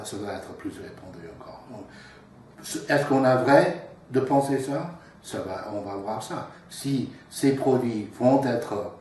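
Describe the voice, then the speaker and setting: A man whose first language is English, answering interview questions in careful second-language French, measured and trailing off softly at phrase ends. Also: on the low side. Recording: echoey room, from far off, faint noise.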